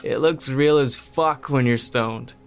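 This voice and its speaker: A man's voice: mid-range voice, unhurried, faint music behind, lightly acted. A man playing an enthusiastic stoner roommate in a horror audio drama, talking in slangy, laid-back rambles about creepy internet lore. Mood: amused, stoned.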